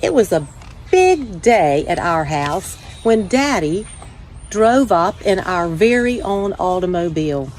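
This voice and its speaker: Low-pitched woman reading aloud, noisy background. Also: very animated, takes it slowly, darkish tone. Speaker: church children's ministry director, story time host. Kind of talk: read-aloud story time for children.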